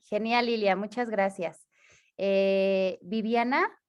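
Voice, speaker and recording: A Mexican woman with a mid-range voice hosts a workshop over a video call. She sounds upbeat and brisk. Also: medium pitch, fairly even intonation, clean tone, highs cut off. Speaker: workshop host. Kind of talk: moderating.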